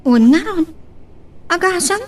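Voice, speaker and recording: A woman's voice: very high voice, expressive, takes it slowly, darkish tone, heavily acted. A woman playing a caring, anxious young daughter in an audio drama. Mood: concerned.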